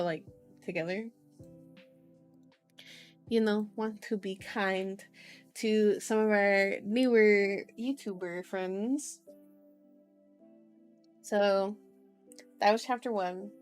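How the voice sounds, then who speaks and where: A woman's voice: medium pitch, clean tone. A sleepy woman hosting an ASMR stream, chatting casually to viewers in a cutesy, playful, rambling way.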